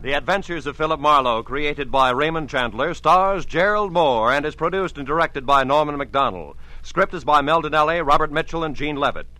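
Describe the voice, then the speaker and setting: A male announcer reading credits in formal old-time radio style, with crisp, measured diction. His voice is bright and slightly high. Faint music plays beneath the old broadcast. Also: no echo, rough recording.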